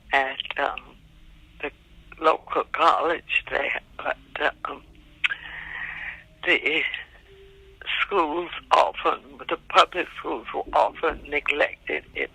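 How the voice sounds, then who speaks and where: Slow, gravelly older woman reminiscing. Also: sits low, no echo, poor audio. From Montgomery, Alabama. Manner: slow and reflective, soft-spoken with pauses. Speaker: interviewee, great-aunt. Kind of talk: recorded family oral history.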